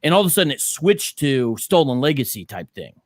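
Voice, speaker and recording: A male podcast co-host talks through his doubts, thinking aloud and often restarting sentences. His intonation rises and falls in a lively way. The recording is dry and close, with no room sound. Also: mid-range voice, a little fast, no echo. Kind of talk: casual discussion.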